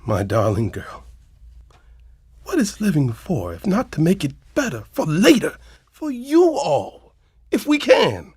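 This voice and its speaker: A man's voice: on the high side, wildly swooping pitch, unhurried, darkish tone, slightly rough, no room sound, clean studio sound, exaggerated voice. An actor playing a zealous, pompous older father and moral crusader in an audio drama, speaking in an oratorical way. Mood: grave, righteous.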